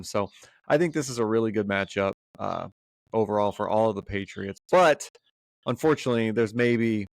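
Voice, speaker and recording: A man's voice: fairly low pitch, no room sound. Man with a head cold, dry sound. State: congested with a cold. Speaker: fantasy football co-host. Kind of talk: casual podcast analysis.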